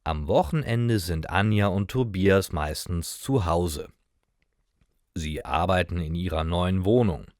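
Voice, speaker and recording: A man's voice: sits low, takes it slowly, a little mellow, no echo, studio quality. A man reading a simple scripted story as a narrator for beginner language learners, in short, careful sentences. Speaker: narrator of German learning stories.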